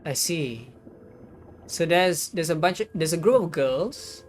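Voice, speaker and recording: A woman livestreaming a game, reading its text aloud and musing on it in a halting way. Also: sits low, animated. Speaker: game streamer. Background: game music.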